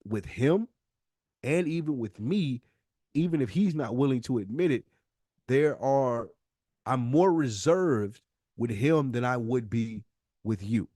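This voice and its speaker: A man's voice: medium pitch, lively intonation, takes it slowly, pauses often, mellow, no echo, clean studio sound. An American man co-hosting a podcast in a candid heart-to-heart, speaking earnestly and emphatically and repeating himself to make his point. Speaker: podcast co-host.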